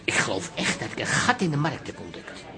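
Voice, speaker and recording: An actor playing a breezy, self-satisfied middle-aged schemer in a Dutch radio play, jaunty in manner. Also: male voice, medium pitch, very animated, a little fast. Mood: pleased, amused.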